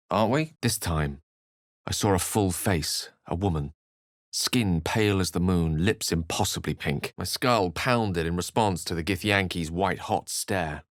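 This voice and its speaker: A man's voice: sits low, no echo, clean studio sound, natural voice. A British man voicing an eloquent, courteous wizard in a video game, with articulate, bookish and theatrical phrasing. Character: eloquent, urbane male wizard, wry and courteous. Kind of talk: video game character voice acting.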